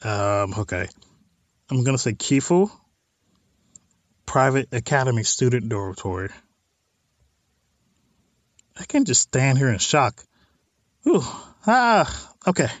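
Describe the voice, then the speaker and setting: A man's voice: mid-range voice, sing-song, measured pace, pauses often, no echo. A man hosting a let's play of a visual novel, reading text aloud and adding informal, wry asides. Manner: informal, wry side comments on what is read.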